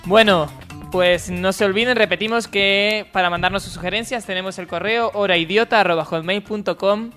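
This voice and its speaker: A man's voice: sits high, steady tone, moderate pace, sharp tone, soft background music. A man with an accent from Spain hosting a comedy call-in radio show. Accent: Spanish (Spain). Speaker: radio host.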